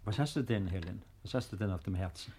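An actor in his fifties playing a reserved, melancholy baron, quiet and understated, in a 1950s German radio play. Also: sits low, fast, noisy background, close to an everyday voice. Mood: reassuring. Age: 50s.

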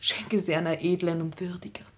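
An actress playing a dutiful, anguished young woman in love in a classic German tragedy for radio, breathy and solemn, often near a whisper. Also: fairly low pitch, steady tone, a little fast, fairly bright tone, slight background noise, lightly acted. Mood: tender, pleading.

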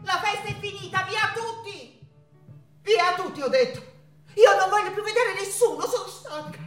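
An actress playing an anguished, exhausted painter possessively in love, in a dramatic, repetitive theatrical stage monologue. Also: female voice, very high voice, moderate pace, soft background music. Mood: frantic, commanding. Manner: dramatic, repetitive, swinging from cries to murmurs. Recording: echoey stage, distant microphone.

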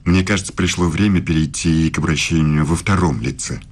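An actor playing a married middle-aged philosophy professor in a radio play, speaking formally and with quiet, insinuating intimacy. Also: male voice, very deep voice, a little fast. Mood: seductive, teasing.

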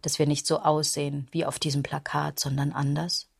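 An actress playing a woman's sardonic, bitter inner voice in a literary radio drama, with a husky sound. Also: low-pitched, little pitch movement, moderate pace, dry recording, clean studio sound, exaggerated voice.

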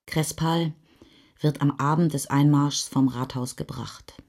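An actress playing the sober, measured narrator of a post-war chronicle in a German radio play. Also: female voice, deep voice, fairly even intonation, creaky, darkish tone, full character voice. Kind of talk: literary reading in a radio play.

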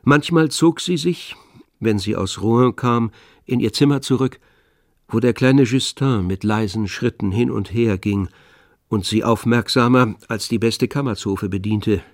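A man narrating a literary radio drama in measured, deliberate phrases. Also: medium pitch, no room sound, clean studio sound.

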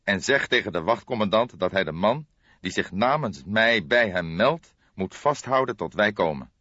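A man's voice: on the low side, lively intonation, normal speed, bright, forceful tone, breathy edge, voice pushed up, full character voice. A man voicing a stern, commanding old police detective in an audiobook. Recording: dull, roomy audiobook recording.

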